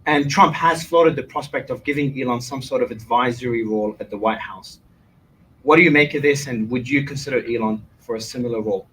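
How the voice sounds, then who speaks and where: A male interviewer laying out background before asking his question. His voice is at a medium pitch, plain in delivery, with faint background noise. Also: fairly quick, fairly bright tone, rough recording.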